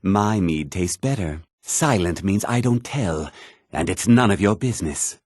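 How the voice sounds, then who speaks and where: Casting: self-assured fantasy game thief, smooth talker, slightly low voice with animated swings. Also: male voice, a little slow, no echo, studio quality, exaggerated voice. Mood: haughty. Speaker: video game voice actor. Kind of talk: scripted fantasy game character dialogue.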